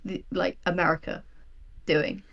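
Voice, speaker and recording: A woman chatting casually as an interview guest, telling things in an animated, anecdotal way. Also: fairly low pitch, unhurried, vocal fry, highs cut off. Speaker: guest, sci-fi writer.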